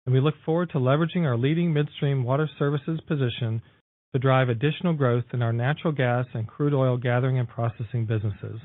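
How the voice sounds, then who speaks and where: A man's voice: mid-range voice, dry recording. Man reading formal remarks on phone line. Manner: formal, reading prepared text. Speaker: company executive. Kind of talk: scripted earnings call remarks.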